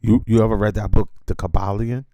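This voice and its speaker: A man's voice: lowish voice, fairly even intonation, a little fast, dark tone, highs cut off. A man interviewing in a casual, slangy and affirming way, full of enthusiasm. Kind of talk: casual chat.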